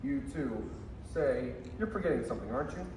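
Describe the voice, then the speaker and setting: An actor playing a polite, brisk bank teller with a clipped, matter-of-fact manner in a stage radio play. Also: male voice, medium pitch, lively intonation, darkish tone, a little hiss or hum, lightly acted. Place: high school theater stage. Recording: very echoey hall from far off.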